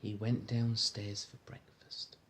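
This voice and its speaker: A man reading a storybook aloud to a child in an informal, encouraging, gentle way, with chatty asides. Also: lowish voice, flat delivery, slow, husky. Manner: encouraging, gentle, echoes the child's words back. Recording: home recording with faint background noise.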